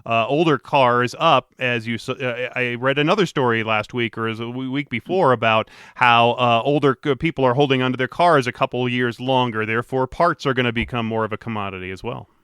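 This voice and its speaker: A man hosting a podcast in a casual, rambling way, full of restarts and fillers. His voice is smooth and clear, recorded close and dry with no room sound. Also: medium pitch, fairly quick, few pauses, a bit bright, no room sound. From Detroit, USA. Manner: chatty, rambling with many restarts. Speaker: podcast host. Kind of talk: casual interview chat.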